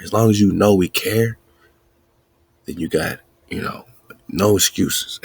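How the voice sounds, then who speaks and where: A man with a low, gravelly and husky voice preaches in a spiritual talk, building rhythmic lists and repeating himself. He laughs between his points. The sound is dry and close. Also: no room sound. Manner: preachy, repetitive, chanting lists. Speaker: podcast host.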